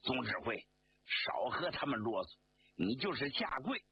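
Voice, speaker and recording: A man's voice: medium pitch, very animated, normal speed, gravelly. A man as a furious, blunt army officer. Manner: scornful, blunt. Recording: dull lo-fi sound, faint noise.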